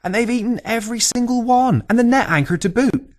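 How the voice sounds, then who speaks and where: A man voicing an old Cornish fisherman telling a tall pub tale in animated, exclamatory dialect. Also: extremely high pitch, fairly quick, voice pushed up. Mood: exclamatory, indignant.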